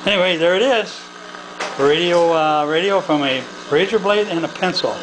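An older man with an American accent giving a casual hands-on demonstration in a halting, folksy, plain-spoken way. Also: sits high, noisy background. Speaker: hobbyist demonstrator. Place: indoors at a home workbench.

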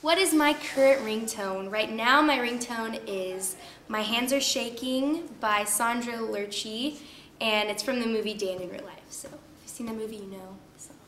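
A woman's voice: on the high side, normal speed, a bit bright, roomy sound, a little hiss or hum. A woman in her late teens from Texas, answering interview questions in a bubbly way. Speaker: singing contest entrant. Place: wood-panelled room, seated in armchair.

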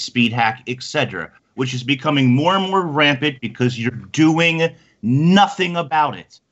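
Blunt ranting man with a bright voice. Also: mid-range voice. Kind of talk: casual video-call chat. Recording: muffled lo-fi call audio.

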